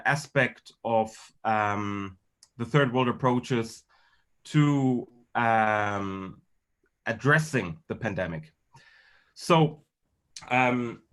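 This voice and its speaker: A man from Germany speaking as a guest lecturer on a video call, with formal wording and a halting, hesitant delivery. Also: medium pitch, fairly bright tone. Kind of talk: semi-formal online lecture.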